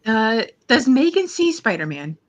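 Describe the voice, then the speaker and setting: Rpg player, casual and a bit hesitant asking about moves, mid pitch, muffled lo-fi mic. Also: sing-song, measured pace, soft background music. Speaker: player in a tabletop roleplay game. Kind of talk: casual game talk, describing a character's actions. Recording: dull low-fidelity mic.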